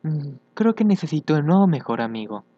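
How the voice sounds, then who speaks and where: Young man, slightly high voice, casual vlogger, hesitant, thinking aloud, dry muffled home recording. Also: sing-song, brisk, no room sound. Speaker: fandub YouTuber. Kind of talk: casual reaction vlog, reading his social media aloud. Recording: dull, lo-fi home microphone.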